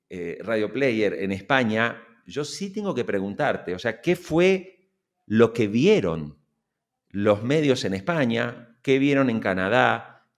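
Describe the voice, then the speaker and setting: A middle-aged man with a Latin American Spanish accent hosting an interview, building long, emphatic questions into a close microphone. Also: on the low side. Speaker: podcast host, interviewer. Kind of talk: conversational interviewing. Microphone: large mic close in front.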